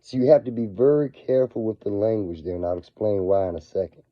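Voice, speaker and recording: A man with a cold, his voice scratchy and raspy, talking casually as a finance vlogger. He swings between low murmurs and excited bursts. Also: fast talker, no echo. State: hoarse from a cold. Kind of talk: casual video monologue. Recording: dull, lo-fi sound.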